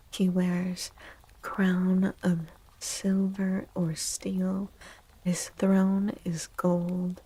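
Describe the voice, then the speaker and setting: A woman streamer giving a soft-spoken ASMR tarot reading, gentle and unhurried, pausing between phrases and often slipping into a breathy whisper. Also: medium pitch, poor audio.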